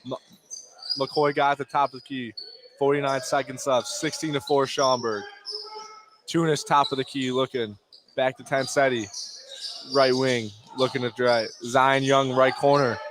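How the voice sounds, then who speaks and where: A man's voice: medium pitch, fairly even intonation, a little slow. A man doing live radio play-by-play of a basketball game in clipped short phrases, exclaiming on big plays. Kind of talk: live radio sports commentary. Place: indoor gym during a live game. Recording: noisy basketball gym ambience. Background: bouncing ball and gym noise.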